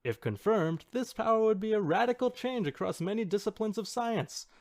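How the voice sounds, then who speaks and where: A man's voice: sits high, expressive, normal speed, vocal fry, dry recording, clean studio sound, voice pushed up. A man voicing a pompous, indignant skeptic in a theatrical reading of an online comment. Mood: indignant, imploring. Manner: theatrical, formal, imploring.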